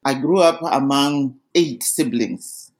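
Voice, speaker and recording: A woman's voice: rumbling low, slow. A middle-aged woman from Zimbabwe with a Zimbabwean accent, earnestly recounting her life story as a podcast guest over a remote call. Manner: earnest, steady storytelling with small restarts. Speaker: interview guest.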